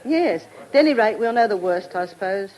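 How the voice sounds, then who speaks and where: A woman's voice: medium pitch, expressive, fairly quick, darkish tone, lightly acted, low-quality recording. An actress playing a chatty, devoted older lady's companion in a radio play, gushing and familiar. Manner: gushing, familiar, calls people dear. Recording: radio drama with crowd murmur.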